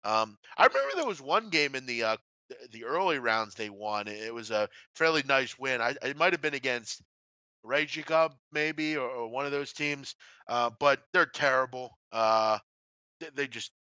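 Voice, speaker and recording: A man's voice: medium pitch, expressive, normal speed, pushed and bright, smooth, dry recording, muffled recording. A man hosting a casual sports betting discussion, blunt and opinionated in a colloquial way. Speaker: host of a sports betting show.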